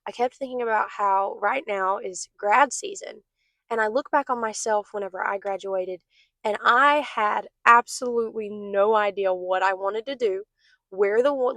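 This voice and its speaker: Young woman, hoarse, mid pitch, earnest encouraging devotional talk, dry close sound. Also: normal speed, a bit bright, dry recording. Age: young adult. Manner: earnest, encouraging, speaks straight to viewers. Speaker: host of a faith channel. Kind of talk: casual devotional talk to camera.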